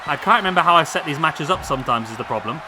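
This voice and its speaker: A man commentating on a wrestling video game, losing his voice, chatty and excitable as he calls the action. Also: on the high side, very fast. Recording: loud game crowd noise behind. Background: video game crowd and arena sound.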